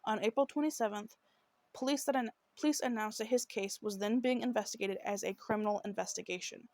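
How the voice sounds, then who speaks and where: A woman with a slightly rough, mid-pitched voice hosts a true crime podcast and works through a case from her notes. She apologizes often and stumbles over her words. The recording is dry and close. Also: a little fast, darkish tone, no echo. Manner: apologetic, trips over words, many fillers. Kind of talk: solo case narration from notes.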